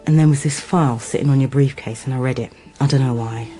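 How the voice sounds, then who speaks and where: An actress playing a soft-spoken, candid woman confiding intimately in a radio drama, with a low, mellow voice. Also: quick pace, soft background music.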